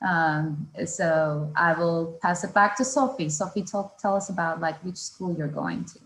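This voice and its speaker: A woman's voice: fairly low pitch, a little slow, a bit bright, echoey room, poor audio. A woman from Turkey moderating an online panel, asking thoughtful open questions.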